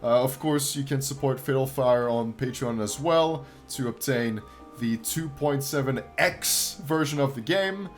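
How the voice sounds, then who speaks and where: A man hosting a gaming channel, hoarse and tired, talking his viewers through a game feature in an upbeat, encouraging way. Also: mid-range voice, unhurried, soft background music. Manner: upbeat and encouraging, with frequent laughs and throat clearing. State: tired after a long stream. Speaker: YouTube gaming channel host. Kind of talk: casual gameplay commentary to viewers.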